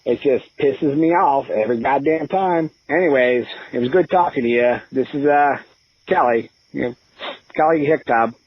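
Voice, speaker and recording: Comedy call-in part, male actor: confused, grumpy caller, halting and husky, muffled lo-fi phone sound. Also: mid-range voice, no echo. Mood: irritated, confused. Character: irritable, confused phone caller to radio show. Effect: phone line filter. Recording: dull lo-fi phone line.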